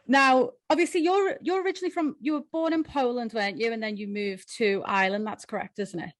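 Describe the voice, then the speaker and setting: A woman's voice: fairly high pitch, brisk, dry recording. Chatty woman interviewer, dry close sound. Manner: chatty, tag questions. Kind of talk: casual interview.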